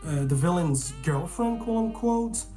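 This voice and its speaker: A man's voice: high voice, lively intonation, a little slow, warm tone, soft background music. A man from Canada, a guest reviewer in casual film chat, talking animatedly, often restarting sentences and swearing.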